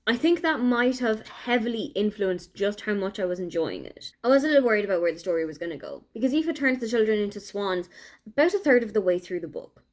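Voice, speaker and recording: A woman's voice: mid-range voice, very animated, fairly quick. A young Irish woman with an Irish accent, chatting casually and enthusiastically in a book vlog diary. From Ireland. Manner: chatty, enthusiastic, thinking aloud. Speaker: book vlogger.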